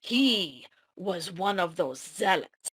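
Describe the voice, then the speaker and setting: A woman playing a cryptic female warrior who tells fantasy lore gravely and deliberately in an amateur script read. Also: on the low side, animated, a bit bright, exaggerated voice. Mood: grave, dramatic.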